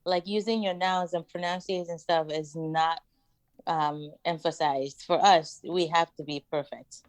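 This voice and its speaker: Frank Nigerian woman, low-voiced. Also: steady tone, no echo. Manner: frank and emphatic, quick to laugh. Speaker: podcast guest. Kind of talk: casual podcast chat.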